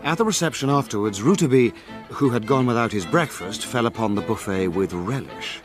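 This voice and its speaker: An actor playing a proper, dryly disapproving gentleman who narrates a mystery drama in formal, measured phrases. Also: mid-range voice, lively intonation, close to an everyday voice. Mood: wry. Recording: music bed behind studio narration.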